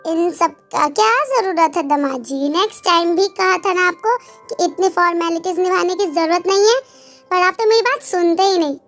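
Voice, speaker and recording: A woman's voice: extremely high pitch, normal speed, fairly bright tone, faint music behind. A woman voicing a hospitable, traditional middle-aged father-in-law in a romance story, polite and a little fussy. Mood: welcoming.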